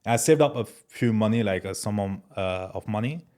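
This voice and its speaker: A young man from France talks in a low voice as a podcast guest. His manner is relaxed and casual, and he keeps dropping fillers like "bro" and "man" as he tells his story. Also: moderate pace. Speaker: guest entrepreneur. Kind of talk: casual interview chat.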